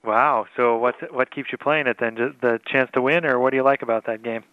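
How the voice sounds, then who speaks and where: A man's voice: mid-range voice, steady tone, a little fast, clear, resonant tone. A man hosting a radio interview, friendly and encouraging, chuckling along with his guest. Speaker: radio host. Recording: dull dry radio audio.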